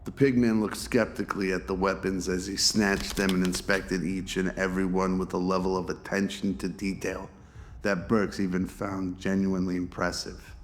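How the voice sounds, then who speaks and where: A man's voice: low voice, little pitch movement, normal speed, a little hiss or hum. A man reading scripted narration for a radio drama.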